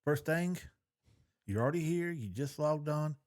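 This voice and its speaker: A man's voice: a little high, animated, unhurried, a little mellow. A man co-hosting a podcast in casual banter, with a folksy, colloquial way of talking. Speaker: podcast co-host.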